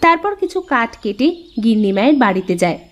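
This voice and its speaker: A woman's voice: a little high, very animated, brisk, a little hiss or hum. A woman reading scripted narration for a cartoon story.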